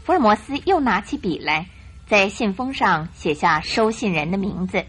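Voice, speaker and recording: A woman narrator telling a scripted detective story for a radio drama in an expressive storytelling style. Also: medium pitch, moderate pace, soft background music.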